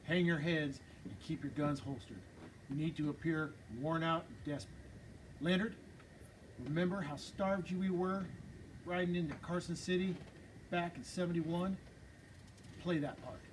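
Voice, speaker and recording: A man's voice: a little high, moderate pace, pauses often, slight background noise, natural voice, rough recording. A man reading a smirking, menacing male outlaw gang leader in a script table reading, with drawling, bossy threats.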